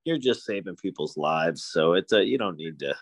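A man's voice: sits low, expressive, moderate pace. A man with a nasal voice and an upstate New York accent, hosting a podcast in easygoing, self-deprecating chat. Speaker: podcast host. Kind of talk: casual chat.

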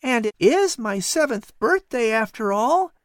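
A woman's voice: a little high, big pitch swings, a little slow, dry recording, studio quality, voice pushed up. A woman voicing a cheerful, playful seven-year-old boy in a storybook. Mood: musing. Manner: eager and playful. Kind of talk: storybook reading.

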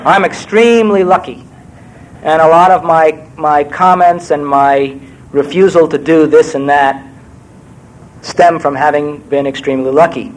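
An older New man with a slightly raised pitch talks through his craft in a wry, meandering way. He pokes fun at himself as he digresses. A faint low rumble sits under his voice. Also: fairly even intonation, unhurried, poor audio. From New York. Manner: wry, digressive, self-deprecating. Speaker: screenwriter guest speaker. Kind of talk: Q&A talk to an audience.

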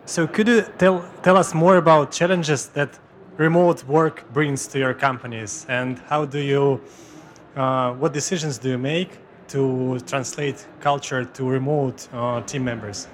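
A man in the audience asking the panel a question, a little haltingly. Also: medium pitch, steady tone, a little hiss or hum.

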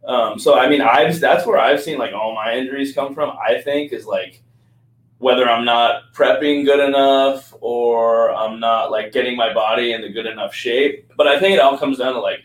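Earnest young man in an echoey room. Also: medium pitch. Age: 20s. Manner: earnest, reflective, many "like"s. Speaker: guest athlete. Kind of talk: candid podcast chat.

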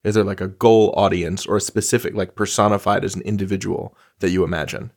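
A man's voice: deep voice, steady tone, fast, vocal fry. A man hosting a conversational interview, speaking in a wordy, bookish way. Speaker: podcast host, interviewer.

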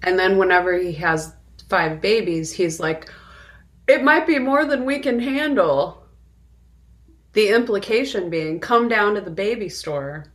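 A woman co-hosting a podcast, talking casually about films and pausing deliberately mid-sentence. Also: mid-range voice. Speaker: podcast co-host. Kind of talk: casual film discussion.

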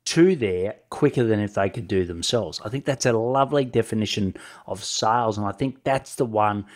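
A man's voice: fairly low pitch, normal speed. A middle-aged man with a head cold and a nasal voice, giving conversational advice as a solo podcast host, talking directly to the listener and often checking in with short tag questions. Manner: direct address with frequent tag questions like "right?". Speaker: podcast host and sales coach.

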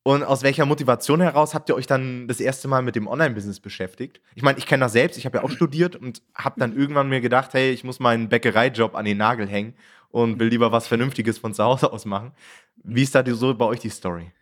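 A man's voice: medium pitch, quick pace, fairly bright tone. A man hosting a podcast, greeting his guests and asking them open questions in a friendly way. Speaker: podcast host. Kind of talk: podcast interview.

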